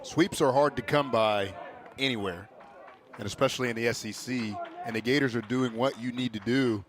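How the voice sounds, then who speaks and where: A man's voice: medium pitch, moderate pace, fairly bright tone, a little hiss or hum. An American man giving conversational, explanatory analysis as a color commentator on a sports broadcast.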